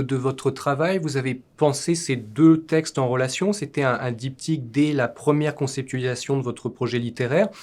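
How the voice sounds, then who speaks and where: A man's voice: medium pitch, darkish tone. A man interviewing an author, asking long, carefully built questions about his books.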